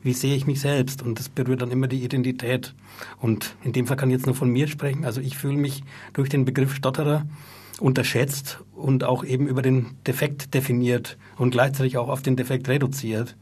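A man with a slightly husky voice hosts a talk show from a radio studio. He speaks thoughtfully and reflectively, with the odd stuttered word. His pitch sits in the ordinary middle range for a man. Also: flat delivery, brisk, darkish tone.

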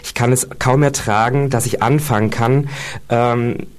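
Man, radio co-host, hesitant, fillers, mid pitch. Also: fairly even intonation, measured pace. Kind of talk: casual radio talk, reading quotes aloud.

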